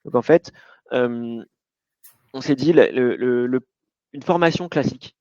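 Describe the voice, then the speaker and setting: A man's voice: medium pitch, unhurried, a little mellow, no echo. Male founder, informal storyteller with stammering restarts, dull lo-fi dry call sound. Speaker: company founder and guest speaker. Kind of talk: casual webinar talk.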